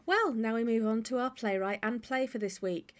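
A woman's voice: fairly high pitch, normal speed. A woman hosting a podcast, delivering a scripted introduction in a presenter's style. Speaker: podcast host.

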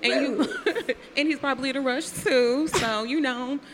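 A woman's voice: high voice, unhurried, in a large hall, noisy background. A woman playing a sassy, wheedling, man-chasing waitress with slangy talk in an improvised radio comedy. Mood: sassy, teasing.

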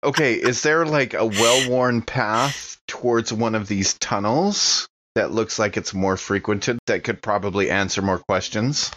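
A man's voice: on the low side, measured pace, few pauses, a bit bright, dull audio. Man bantering as a tabletop player. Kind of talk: casual game-table banter.

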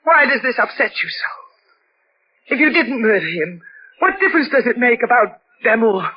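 Intense, defiant young daughter, noisy recording. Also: female voice, on the high side, sing-song, normal speed. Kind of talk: 1940s radio mystery acting. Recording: dull, noisy old radio recording.

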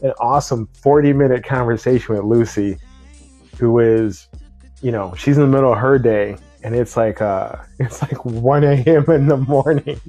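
A man's voice: medium pitch, normal speed, mellow, soft background music. A man hosting a podcast, speaking as an interviewer introducing and chatting with a guest. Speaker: podcast host. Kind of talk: scripted intro, then casual interview.

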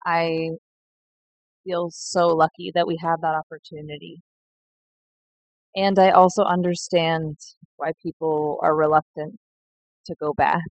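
A young woman sharing a slow, heartfelt personal reflection in a recorded message. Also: deep voice, little pitch movement, no echo, lo-fi sound. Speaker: seed collective member.

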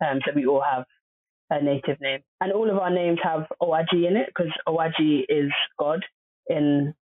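A woman guest talks casually, pausing often on fillers. Her voice sounds thin and phone-like, with no room around it. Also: low-pitched, dry recording. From family from southern Nigeria. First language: Obolo. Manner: hesitant, many fillers. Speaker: podcast guest. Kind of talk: casual interview chat. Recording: dry, phone-like lo-fi audio.

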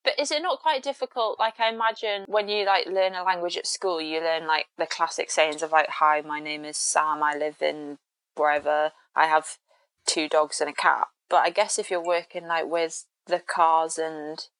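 A woman's voice: sits low, fairly even intonation, normal speed, a bit bright, no echo, rough recording. A woman co-hosting a podcast, chatting casually and asking friendly, encouraging questions. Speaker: podcast co-host. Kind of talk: casual chat.

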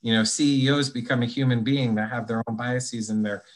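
A man of ordinary middle pitch speaks as a podcast guest. He explains at length in a thoughtful, conversational way, often restarting his sentences as he works out his point. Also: steady tone, brisk. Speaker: guest, anti-bias trainer.

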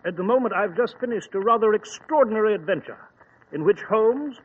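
A blustering, proper gentleman doctor in a vintage radio mystery. The voice sits high with a husky edge. It is heard through a thin, phone-like old broadcast recording. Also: animated, brisk. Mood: earnest. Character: Doctor Watson, brisk middle-aged English gentleman. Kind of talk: 1940s radio drama acting.

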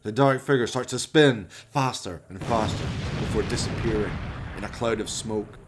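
A man's voice: fairly low pitch, moderate pace, noisy background. A man narrating a comic horror radio play as scripted storytelling, in a melodramatic, mock-suspenseful manner.

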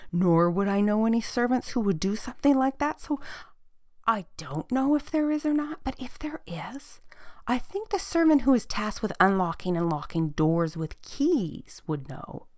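A woman voicing a timid, rule-bound servant boy in a fairy tale, hushed and half-whispered. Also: fairly high pitch, dry recording, studio quality, voice pushed up.

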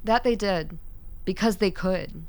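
An actress playing a stern, zealous woman scientist in a radio play, speaking in a deliberate, formal, earnest way. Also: medium pitch, darkish tone, natural voice. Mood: solemn, persuasive. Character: stern, zealous woman doctor, resistance scientist with military authority.

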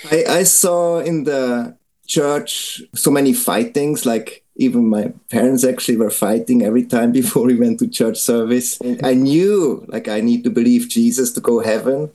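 A man's voice: mid-range voice, moderate pace, warm tone. A man from Switzerland, a missionary guest, telling his faith story in earnest, simple phrasing as a non-native English speaker. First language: Swiss German. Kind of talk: interview, personal testimony.

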